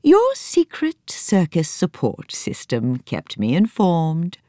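A woman voicing Hati, a kindly, motherly female elephant, speaking low, slow and tender. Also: wildly swooping pitch, dark tone, dry recording, studio quality, heavily acted.

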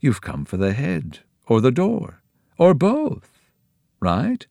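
A man's voice: mid-range voice, slow pace, creaky, dry recording, clean studio sound, pitched above the performer's own voice, full character voice. A man doing a swooping, greedy eccentric hermit. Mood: welcoming. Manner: sing-song, swooping excitedly.

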